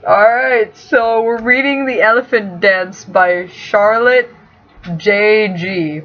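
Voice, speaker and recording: Through a dull, lo-fi recording comes a woman co-hosting a show in casual banter. Her voice sits at an ordinary pitch for a woman, and she talks informally as she walks through what they are doing. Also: slow pace. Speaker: podcast co-host. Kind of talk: casual banter while picking fan fiction.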